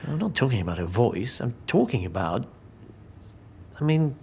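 An actor playing an eager, smitten public servant, polite and flustered, in an audio drama. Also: male voice, mid-range voice, very animated, moderate pace, gravelly, dark tone, slight background noise. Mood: earnest, smitten. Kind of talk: naturalistic audio drama acting.

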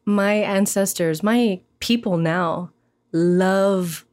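A woman from Hawaii, a poet speaking in a reflective interview, thoughtful and animated, often pausing with "um" and "you know". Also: medium pitch. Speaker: poet, guest.

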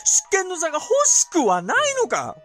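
A woman's voice: sits high, huge pitch swings, normal speed, a bit bright, soft background music, heavily acted. A woman playing a haughty, petulant, drunken feudal lord who whines theatrically in a period audio drama. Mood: petulant, scornful. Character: petulant, domineering drunken lord, bored with cowards.

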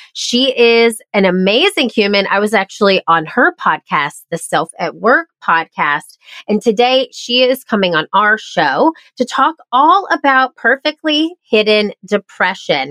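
A woman's voice: medium pitch, a bit bright, no room sound. A woman hosting a podcast interview, her voice nasal and congested after the flu, talking in an upbeat, enthusiastic way. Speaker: podcast host.

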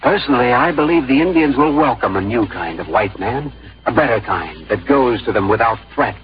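An actor playing a hopeful young frontier suitor in a radio drama, respectful and earnest but firm. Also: male voice, medium pitch, lively intonation, normal speed, natural voice. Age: young adult. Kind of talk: 1950s radio drama acting. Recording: muffled old radio recording.

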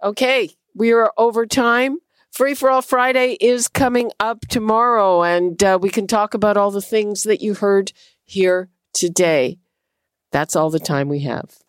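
A woman's voice: medium pitch, unhurried, clear, resonant tone, no echo, clean studio sound. A woman hosting a radio show, asking her guest direct questions. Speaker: radio host. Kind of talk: radio interview.

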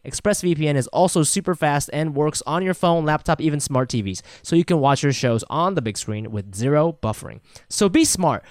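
A man hosts a casual podcast, slipping into sponsor reads with a joking, breezy delivery and frequent laughs. His voice sits a little high for a man, and the recording is close and dry. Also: no room sound. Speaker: podcast host. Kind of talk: casual chat and read sponsor ads.

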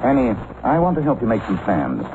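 An actor playing a suave, calm, dryly ironic gentleman sleuth in an old radio drama, polished and unhurried. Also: medium pitch. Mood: calm concern. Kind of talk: 1940s-50s radio drama acting. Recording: muffled, noisy old radio recording.

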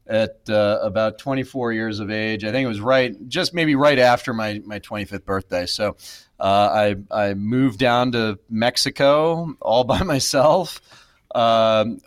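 A man's voice: fairly low pitch, no echo. A man in his thirties, a podcast guest, speaking reflectively with many fillers and restarts. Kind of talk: casual interview.

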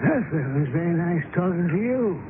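An actor playing a gentle, courteous man in his nineties, a knowledgeable country gardener, in a radio drama. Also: high voice, expressive, normal speed. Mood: kindly, musing. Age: 90s. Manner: genteel, whimsical, knowledgeable. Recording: noisy, phone-like old radio broadcast.

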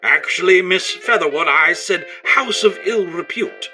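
An actor playing a jolly, pompous old-time English radio host, prim and flowery in a mannered comedy of manners. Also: male voice, high voice, expressive, a little slow, over music. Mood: chatty, pompous.

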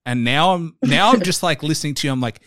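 A man hosting a podcast interview, musing and thinking aloud in a relaxed, rambling way. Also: a little high, fast talker, no room sound, studio quality. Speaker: podcast host. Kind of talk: casual interview conversation.

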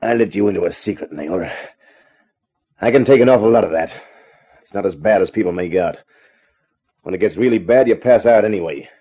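An actor playing a cool, laconic private eye in a 1950s radio crime drama. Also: male voice, fairly low pitch, fairly quick, long pauses, mellow, slightly rough. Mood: defiant, cool. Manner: laconic, unflappable. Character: cool, wisecracking hardboiled American private eye. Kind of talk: 1950s radio crime drama, first-person narration and dialogue. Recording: dull old radio recording.

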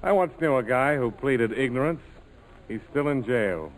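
An actor playing a cool, sardonic pilot skipper with a terse, commanding delivery in a 1940s radio drama. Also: male voice, mid-range voice, lively intonation, moderate pace, lightly acted. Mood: dry, wary. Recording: noisy old 1940s radio broadcast.

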